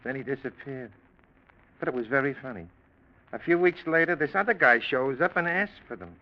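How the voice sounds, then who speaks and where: An actor playing a chatty, folksy cigar store clerk who rambles hesitantly, in 1940s radio drama style. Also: male voice, mid-range voice, expressive, fairly quick, close to an everyday voice. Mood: recollecting. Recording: muffled old radio recording.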